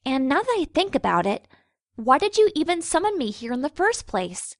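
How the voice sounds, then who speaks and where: A woman's voice: high-pitched, very animated, normal speed, dry recording, studio quality. A woman playing an earnest young pony princess, exasperated and questioning, in a fan fiction audio drama.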